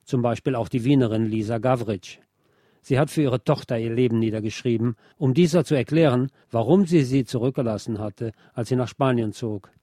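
Man, mellow dark timbre, medium pitch, scripted radio narration, literary reading, very dry close sound. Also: fairly quick, no echo. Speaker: radio programme presenter reading a book chapter.

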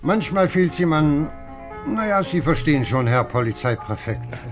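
An obliging, chatty fellow in a 1950s radio drama. He is folksy and ingratiating, with a slightly high, very lively voice over clear music. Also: normal speed. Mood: jovial, insinuating. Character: jovial, obliging, chatty man gossiping about a regular.

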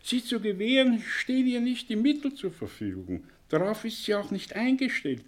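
An actor playing a cynical, condescending professor in a radio drama, mocking and lecturing with rhetorical flourishes. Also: male voice, high voice, very animated, normal speed. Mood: scornful lecturing. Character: cynical, condescending male professor with a choleric streak. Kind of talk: German radio drama acting.

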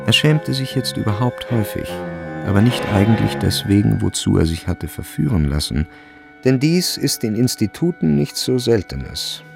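A man narrating a literary radio drama in a measured, grave and expressive way. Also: on the low side, creaky.

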